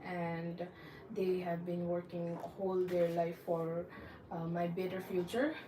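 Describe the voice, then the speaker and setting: A woman's voice: lowish voice, little pitch movement, a little slow, reverberant, recorded from a distance, background noise. A young woman from Nepal, answering mock visa interview questions hesitantly and politely, with many fillers. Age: young adult.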